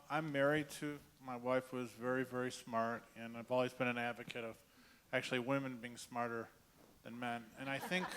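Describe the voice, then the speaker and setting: A man's voice: mid-range voice, a bit bright, a little hiss or hum. A man in a conference audience making a friendly, conversational comment. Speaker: audience member.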